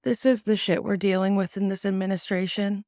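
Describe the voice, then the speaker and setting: A woman hosting a podcast, giving casual, blunt opinions on the news, swearing freely and using many ums, sounding sick and tired with a slightly raspy voice. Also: medium pitch, flat delivery, a little fast, mellow, no room sound, clean studio sound. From United States. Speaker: podcast host.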